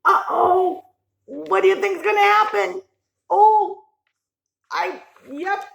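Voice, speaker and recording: A woman reading a picture book aloud to young children in an expressive, animated storytelling style, breaking off for simple questions, gasps and exclamations. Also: very high-pitched, slow pace, gravelly.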